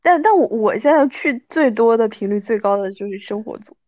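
A woman's voice: on the high side, expressive, brisk, warm tone, no echo, highs cut off. A woman in her thirties chatting casually like with an old friend, in a playful, self-mocking way. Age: 30s. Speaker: podcast host.

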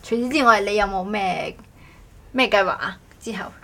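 Woman podcast host, mid-pitched, playful and teasing in casual banter, noisy room tone behind. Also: moderate pace, pushed and bright. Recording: clearly noisy background ambience.

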